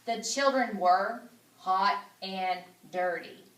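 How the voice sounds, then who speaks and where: A woman reads a storybook aloud at an unhurried pace, adding chatty little asides as she goes. Her voice is mid-pitched. The room is echoey and she sounds a bit away from the microphone. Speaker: school principal reading aloud to children. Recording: roomy echo, distant microphone.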